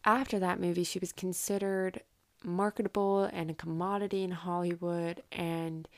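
Creaky-voiced woman podcaster, casual and rambling. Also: fairly low pitch, level tone, moderate pace. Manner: informal, rambling, self-interrupting with asides. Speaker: podcast host. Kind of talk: casual solo podcast storytelling about history.

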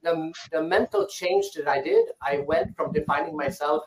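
A man's voice: a little high, wildly swooping pitch, moderate pace, gravelly. Echoey man, thoughtful. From Sweden. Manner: thoughtful, repeats words as he restarts. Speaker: guest speaker. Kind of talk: conversational interview answers. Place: rooftop of his island house. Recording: echoey online audio.

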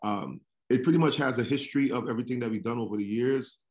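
A man from Trinidad and Tobago, a barber, answering interview questions in a halting way with many fillers. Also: mid-range voice, darkish tone. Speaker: barber, podcast guest.

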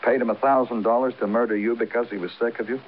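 An actor playing a world-weary big-city detective, blunt and brooding, in a 1950s hardboiled radio crime drama. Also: male voice, mid-range voice, steady tone, fast, slight background noise, close to an everyday voice. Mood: pressing. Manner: blunt questioning, brooding poetic narration. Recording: dull old radio broadcast.

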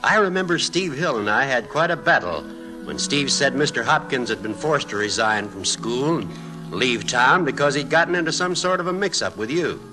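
A man's voice: mid-range voice, animated, moderate pace, over music, natural voice, rough recording. An actor playing a grown former pupil, telling his memories ruefully in a 1940s radio drama style. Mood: rueful reminiscence. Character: grown former pupil, fondly recalling youthful lovesickness.

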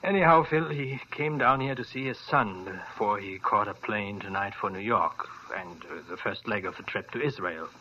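A man's voice: lowish voice, moderate pace, few pauses, pushed and bright. A man playing a kindly elderly doctor in a 1940s radio drama, urgent and earnest. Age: older. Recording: lo-fi old radio with faint hiss.

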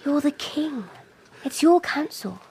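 Casting: proud young queen, high voice, firm yet soothing, historical radio drama. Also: expressive, takes it slowly, a little mellow, a little hiss or hum, exaggerated voice. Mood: firm, urging. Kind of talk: dramatic radio play acting with narration.

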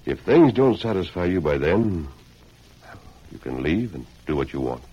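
An actor playing a calm, authoritative masked hero in an old radio western, husky and measured in his delivery. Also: full character voice. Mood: calm, earnest. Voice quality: very deep, husky. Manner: measured, earnest, commanding. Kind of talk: 1940s-50s radio western acting. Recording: dull lo-fi old radio recording.

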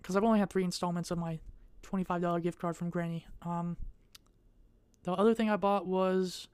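A young adult woman vlogging in a casual, rambling way. She speaks in a monotone and hesitates often, with many fillers. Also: fairly low pitch, a little fast, clean tone, no echo.

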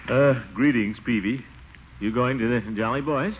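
An actor playing a blustery, exasperated middle-aged uncle in a 1940s radio comedy, pompous and grumbling. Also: male voice, mid-range voice, moderate pace, lightly acted. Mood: glum, grumbling. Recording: noisy, tinny old radio recording.

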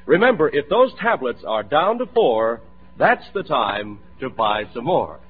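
Man, American accent, lively swooping pitch, rough-edged voice, persuasive radio ad read, tinny vintage recording. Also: unhurried. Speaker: commercial announcer.

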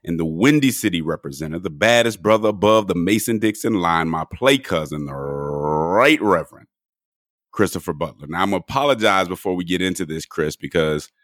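A man hosting a podcast in relaxed conversational talk, his voice a little hoarse and cracking. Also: deep voice, brisk, dry recording, studio quality. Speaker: podcast host. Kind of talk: casual podcast chat.

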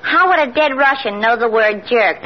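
A woman's voice: a little high, expressive, normal speed, close to an everyday voice. An actress playing a brassy, sceptical young woman trading wisecracks in a radio comedy. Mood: wisecracking. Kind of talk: 1940s radio comedy acting. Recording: muffled, noisy old radio with audience.

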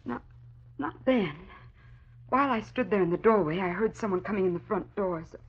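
An actress playing a nervous, defensive young society woman who speaks haltingly and dramatically in an old radio drama. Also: mid-range voice, slightly rough. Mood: shaken. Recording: dull, lo-fi old radio recording.

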